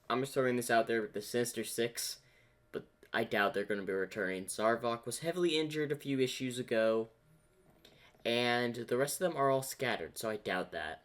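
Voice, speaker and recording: A man hosting a reaction video, giving casual commentary and thinking aloud in a halting way. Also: medium pitch, fairly bright tone.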